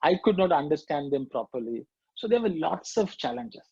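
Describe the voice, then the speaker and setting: A middle-aged man with a Sri Lankan accent, recounting his past in a reflective, halting way as a guest speaker. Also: on the high side, sing-song, darkish tone, breathy edge, dry recording. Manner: reflective, halting with fillers and restarts. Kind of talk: personal testimony talk. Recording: muffled lo-fi dry audio.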